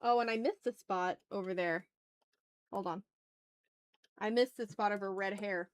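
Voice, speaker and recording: A woman's voice: mid-range voice, a little slow, clear, resonant tone. Casual woman art streamer, chatting and muttering. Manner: self-talking, repeats short phrases, mild exclamations. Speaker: streamer, artist hosting a live art stream. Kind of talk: casual livestream chat, muttering to self while drawing.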